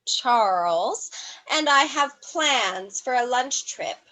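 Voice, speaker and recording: Actress doing bratty bored heiress girl, high bright voice, dismissive drawl, comedy play. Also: expressive, slow, exaggerated voice, low-quality recording. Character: spoiled, bored young heiress, dismissive and flippant. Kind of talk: comedic acting in an online play.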